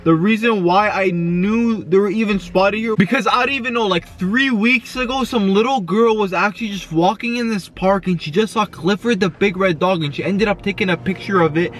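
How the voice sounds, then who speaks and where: A man's voice: high voice, moderate pace, hardly any pauses, fairly bright tone. Hyped young man vlogging from his car. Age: 20s. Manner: hyped, exclamatory, lots of yo and oh my god. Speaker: YouTuber. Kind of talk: excited vlog chat. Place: parked car, indoors. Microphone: camera facing him in car.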